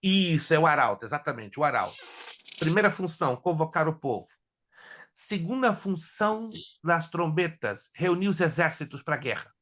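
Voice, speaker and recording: A man teaching a theology class as a pastor, whose first languages are Hebrew and Spanish, lecturing in an animated way and joking with his students. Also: a little high, normal speed. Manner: animated, jokes with students, asks if they follow.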